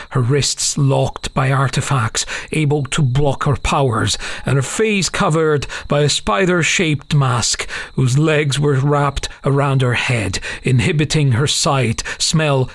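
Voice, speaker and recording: A man narrating a scripted fantasy audiobook as a dramatic, measured, solemn storyteller. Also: medium pitch, gravelly, no echo.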